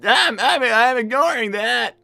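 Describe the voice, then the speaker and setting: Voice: mid-range voice, lively intonation, measured pace, vocal fry, heavy background noise. A performer voicing a flustered young boy fox cartoon character, stammering and repeating words while hosting a game livestream. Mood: flustered, puzzled. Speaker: streamer hosting a live show.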